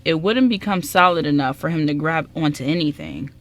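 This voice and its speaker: A woman's voice: deep voice, fairly quick. A woman reading scripted story narration as the narrator of a radio drama.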